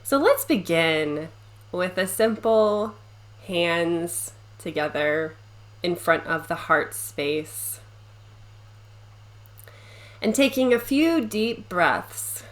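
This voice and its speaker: A woman leading a guided meditation, speaking gently and soothingly with long pauses between short phrases. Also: fairly low pitch, animated, rough recording.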